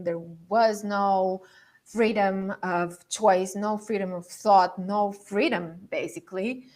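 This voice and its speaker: A middle-aged woman from Romania presents a leadership masterclass over a video call. She speaks at an unhurried, easy pace. Her tone is conversational, and she thinks aloud with small fillers as she moves between points. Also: medium pitch. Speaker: leadership coach.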